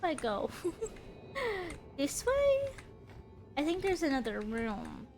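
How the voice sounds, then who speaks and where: A woman's voice: very high voice, huge pitch swings, very slow, darkish tone, faint music behind. A young woman chatting casually while streaming a game, giggly and rambling, with a stuffy, congested nose. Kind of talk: casual gameplay chat.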